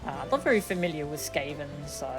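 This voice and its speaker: A woman streamer chats casually as she plays, dropping wry little asides. Her voice sits slightly low, and game music plays softly behind her. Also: expressive, fast talker, low-quality recording. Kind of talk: live stream chat, reading game dialogue aloud.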